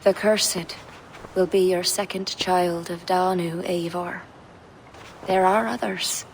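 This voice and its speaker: A low-voiced mystic healer, solemn and hushed. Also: female voice, steady tone, slow pace, vocal fry, a little hiss or hum, poor audio. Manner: hushed, solemn, often whispering. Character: mysterious woman healer of old lore.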